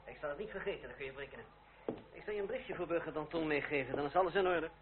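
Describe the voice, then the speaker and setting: An actor playing a proud young revolutionary with a cutting tongue, speaking formally and sardonically in a period radio drama. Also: male voice, on the high side, rapid-fire, lightly acted. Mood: commanding. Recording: tinny, very noisy old radio play recording.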